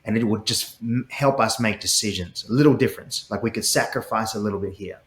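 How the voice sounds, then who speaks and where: Middle-aged Aussie man, low-pitched, eager guest in an echoey room, stumbles into his points. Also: fairly quick, a bit bright. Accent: Australian. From Australia. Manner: passionate, repeats words when starting sentences. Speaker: guest, startup CEO. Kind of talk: earnest interview conversation.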